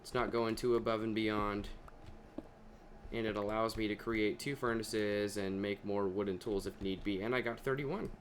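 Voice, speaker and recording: A young man chatting casually over his gameplay, his voice deeper than usual and talking to himself as he plays. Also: fairly even intonation, normal speed, slight background noise. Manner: chatty, talks to himself while playing. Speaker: gaming video creator.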